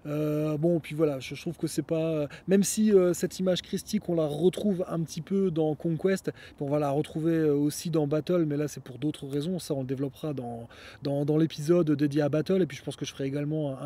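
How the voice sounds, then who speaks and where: Mellow-voiced man hosting a podcast. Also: a little high, steady tone, brisk. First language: French. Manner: rambling, many fillers and restarts. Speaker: podcast host. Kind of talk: casual solo podcast talk. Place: outdoors.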